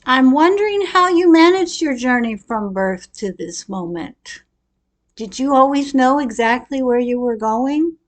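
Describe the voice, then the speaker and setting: A middle-aged woman giving a prepared personal talk as a storyteller, with deliberate, expressive and reflective delivery. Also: high-pitched. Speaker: speaker telling her own life story.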